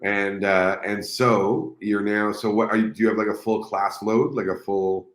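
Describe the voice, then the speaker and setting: A man's voice: fairly low pitch, little pitch movement, moderate pace, reverberant. A man hosting a live interview, enthusiastic and rambling, often restarting his sentences. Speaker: host.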